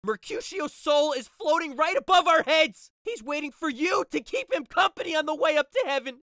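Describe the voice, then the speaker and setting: Actor as an impassioned young nobleman, wildly swinging pitch. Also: animated, moderate pace, sharp tone, no room sound, clean studio sound, heavily acted. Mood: enraged. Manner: emotional, declamatory. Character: impassioned, grief-stricken young nobleman turned vengeful. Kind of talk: modernized Shakespeare audio drama.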